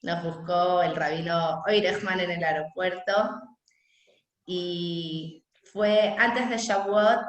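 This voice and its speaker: A young woman with a Venezuelan accent, telling her personal story in an unhurried, conversational way as a guest speaker on a video call. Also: on the low side, fairly even intonation. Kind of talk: personal talk at an online gathering. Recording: echoey room on a video call.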